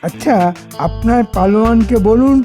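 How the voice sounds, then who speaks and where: A man's voice: very high voice, moderate pace, mellow, soft background music. A man voicing a sly, scheming royal minister in a cartoon, persuasive and insinuating. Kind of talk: Bengali cartoon dialogue.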